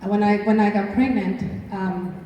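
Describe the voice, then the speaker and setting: A woman's voice: mid-range voice, fairly even intonation, a little slow, dull audio. Woman in a very echoey hall. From Mexico City, Mexico. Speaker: panel speaker. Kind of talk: personal testimonial to an audience. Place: large echoing event hall. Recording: echoey hall, distant mic, faint noise.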